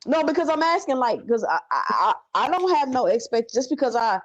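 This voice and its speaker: A man who makes boxing content, chatting on a panel in a halting, questioning way with repeated words. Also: very high voice, very animated, no room sound, muffled recording. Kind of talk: casual panel chat.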